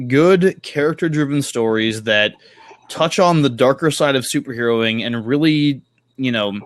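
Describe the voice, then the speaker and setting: A man chatting casually as a podcast guest, halting and thinking aloud, correcting himself and swearing offhandedly. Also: medium pitch. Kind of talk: casual chat about comics.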